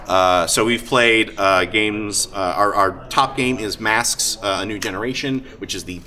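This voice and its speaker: A man hosting a live show, talking casually and off the cuff, with enthusiasm, halting asides and frequent hesitations. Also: on the low side. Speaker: host of a live-streamed tabletop roleplaying show. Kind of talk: casual off-the-cuff talk to an audience. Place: live event room at a gaming convention. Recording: live convention room with crowd noise.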